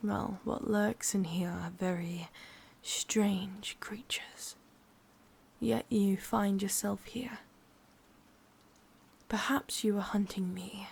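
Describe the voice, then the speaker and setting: Breathy, whispering English witch, played by a woman. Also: medium pitch, steady tone, darkish tone, heavily acted. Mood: probing. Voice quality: breathy, often slipping into whisper. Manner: slow, halting, drawn-out delivery with pauses. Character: ancient, lonely, sadistic witch, possessive and menacing. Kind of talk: ASMR roleplay, intimate and half-whispered.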